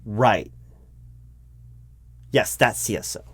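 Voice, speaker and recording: A man with a fairly low voice plays an awkwardly polite corporate hiring manager in an improvised audio roleplay. He talks hesitantly, stammering and doubling back on words as he addresses an unheard applicant, sounding flustered. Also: takes it slowly, slightly rough. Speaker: roleplay performer playing a recruiting manager. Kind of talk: improvised one-sided job interview roleplay.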